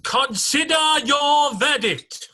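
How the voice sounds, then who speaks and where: An impatient storybook monarch in judgement, acted by a stage reader, with a very high, piercingly bright voice. The commands are blustering and drawn out, with wide swings of pitch. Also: animated, slow, exaggerated voice. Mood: imperious. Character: imperious, impatient royal judge of storybook court. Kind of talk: dramatic reading of a classic children's story.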